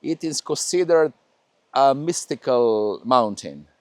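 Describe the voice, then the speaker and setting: A man's voice: mid-range voice, animated, darkish tone, no room sound. Serbian painter, male, hesitant and searching for words, mid-range voice, dry recording. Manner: hesitant, halting non-native English with many fillers. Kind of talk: interview conversation.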